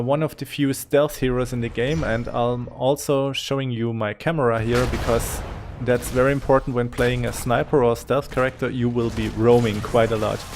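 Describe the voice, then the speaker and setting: A man with a German accent talks through a game replay, unscripted and somewhat apologetic as he thinks aloud. His voice has a gravelly fry at a middling pitch, over faint background noise in a home voice-over. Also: a little mellow. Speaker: gaming commentator. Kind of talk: unscripted gameplay commentary.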